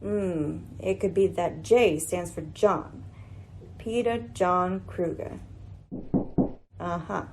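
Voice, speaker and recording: A woman's voice: on the low side, background noise. Woman playing a shrewd, self-possessed lady detective. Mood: thoughtful. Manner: measured, probing, dryly ironic. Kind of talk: old-time radio mystery acting.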